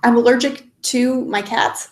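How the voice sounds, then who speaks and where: A woman's voice: fairly high pitch, steady tone, measured pace. A young adult woman, stuffed up and sniffly, chatting casually on a live stream and answering questions with many ums and restarts. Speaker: YouTuber answering live chat. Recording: echoey room.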